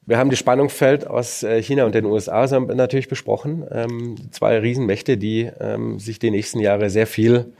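A man's voice: medium pitch, steady tone, moderate pace, creaky, hardly any pauses, warm tone. A man moderating an interview in a conversational way, hesitant and searching for his words.

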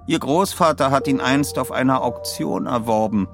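A man's voice: medium pitch, moderate pace, over music, pitched above the performer's own voice, lightly acted. A man playing a polite, insistent young man in an audio story. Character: calm, insistent long-haired young man seeking a treasure.

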